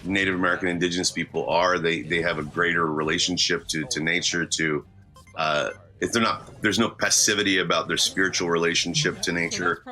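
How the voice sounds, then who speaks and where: Deep, sharp-voiced man, speaking haltingly. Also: flat delivery, faint music behind. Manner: halting, searching for words. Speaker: voice actor being interviewed. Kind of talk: reflective interview talk.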